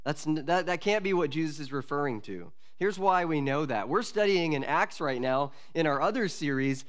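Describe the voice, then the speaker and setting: Pastor guy in his 40s preaching at a church lectern mic, slightly high voice, steady and earnest. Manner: earnest, measured teaching with pauses and restarts. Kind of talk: expository sermon. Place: church sanctuary at a wooden lectern. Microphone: lectern microphone.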